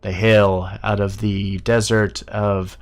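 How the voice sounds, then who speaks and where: A man voicing a proud, weary male bronze dragon who talks slowly and a little under his breath. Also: fairly low pitch, level tone, soft background music. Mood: rueful.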